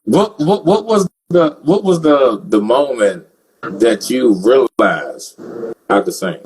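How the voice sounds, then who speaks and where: A man's voice: mid-range voice, animated, slow pace, darkish tone, a little hiss or hum, rough recording. A man hosting a casual interview who jokes and often repeats words as he starts his questions. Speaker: interviewer host.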